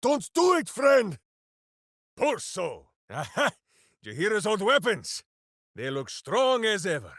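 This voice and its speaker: An actor playing a big-hearted, boisterous, simple-minded heroic ranger in a video game, declaiming theatrically. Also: male voice, high voice, wildly swooping pitch, slow pace, long pauses, a bit bright, no echo, clean studio sound, full character voice. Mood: booming, heroic. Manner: theatrical, declaiming, speaks of himself in third person. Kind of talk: video game character voice lines.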